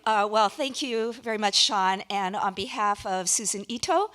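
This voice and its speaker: A woman giving a public speech as a contest judge at a live awards ceremony, talking conversationally with hesitant pauses. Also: mid-range voice, lively intonation, fairly bright tone. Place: live event before an audience.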